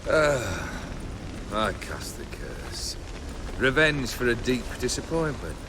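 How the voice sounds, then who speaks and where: A haughty, volatile outlaw noble from a fantasy game. He speaks in a mid-pitched voice with ornate, sardonic phrasing and cynical command. Clear outdoor ambience sits behind the voice. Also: very animated, takes it slowly, noisy background. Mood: sardonic, reflective. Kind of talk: video game cutscene acting.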